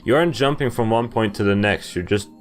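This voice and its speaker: A young man with a fairly low voice hosts a casual game playthrough. He rambles a little, feeling his way through sentences and reading text aloud. Game music plays behind him. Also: brisk. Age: young adult. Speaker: gaming video host.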